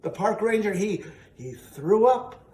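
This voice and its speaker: A man telling a children's story in an animated way, haltingly, repeating phrases for emphasis. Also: high voice. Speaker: storyteller and show host. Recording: roomy, distant, faintly noisy.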